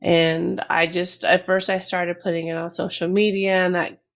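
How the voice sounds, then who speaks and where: A woman's voice: fairly low pitch, level tone, normal speed, vocal fry. A middle-aged woman, a retired army veteran from New Jersey, speaking candidly and reflectively as an interview guest, with some hesitations. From New Jersey, USA. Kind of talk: casual interview.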